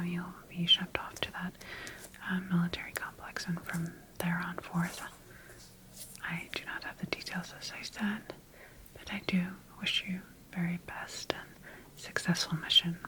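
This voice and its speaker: A woman playing a calm, clinical scientist in a whispered ASMR roleplay, speaking in a hushed, measured, procedural way. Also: lowish voice, lightly acted, rough recording. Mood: calm, formal. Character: calm, clinical female scientist tending her bioengineered creation. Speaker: ASMR performer.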